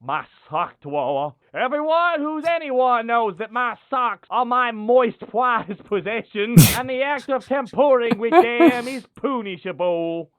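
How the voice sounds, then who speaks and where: Parody villain boss male actor: high voice, lively swings, pompous and silly. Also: unhurried, hardly any pauses, no room sound, heavily acted. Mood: pompous, businesslike. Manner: pompous, melodramatic. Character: pompous, silly male cult-leader villain obsessed with socks. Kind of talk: comic cartoon parody dub.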